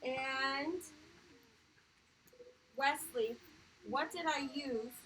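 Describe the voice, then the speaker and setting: A woman's voice: high-pitched, extremely slow, sharp tone, a little hiss or hum, poor audio. A woman elementary teacher leading a read-aloud reading lesson for children, encouraging and emphatic, with plenty of questions.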